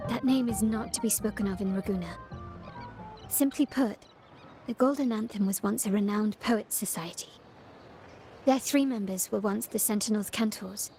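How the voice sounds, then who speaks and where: An actress playing a composed, well-informed young woman in a video game, speaking in a measured, articulate way. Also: medium pitch, darkish tone, natural voice. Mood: hushed, explanatory. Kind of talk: scripted video game dialogue. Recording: background music under the voice.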